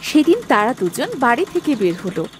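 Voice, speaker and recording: A woman's voice: fairly high pitch, sing-song, brisk, faint music behind. A woman narrating a scripted cartoon village tale as its storyteller.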